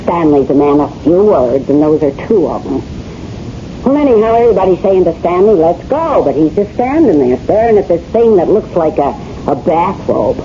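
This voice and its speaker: A woman's voice: on the low side, lively intonation, moderate pace, dark tone, lightly acted. An actor playing a brassy, flirtatious wife fed up with her husband, in slangy, wisecracking 1970s radio drama style, played by a woman. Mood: dismissive, chatty. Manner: slangy, wisecracking, ungrammatical delivery. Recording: muffled, hissy old radio broadcast recording.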